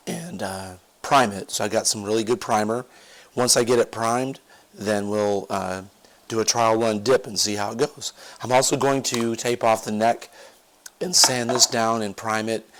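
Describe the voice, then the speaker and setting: A man talks loosely through a guitar repair in a low-pitched voice, pausing on "uh" and "um" and letting sentences trail off. He has a cold and his voice is wearing thin. It sounds like a casual workshop vlog. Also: fairly bright tone. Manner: rambling, lots of fillers, trails off low. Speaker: guitar DIY vlogger. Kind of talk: casual workshop show-and-tell.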